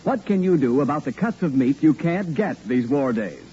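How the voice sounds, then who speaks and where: A male radio announcer in the 1940s style, with a highish, very animated voice and a mellow tone. His scripted delivery is folksy and emphatic, on a dull, faintly noisy old recording. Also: moderate pace.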